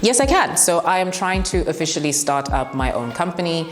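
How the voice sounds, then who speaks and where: A woman's voice: fairly low pitch, sing-song, fairly quick. A young woman with a commanding voice answering interview questions bluntly and laughing often. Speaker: broadcast journalist and TV presenter. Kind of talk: interview answers, relaxed and frank. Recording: echoey room with long reverb, faint music.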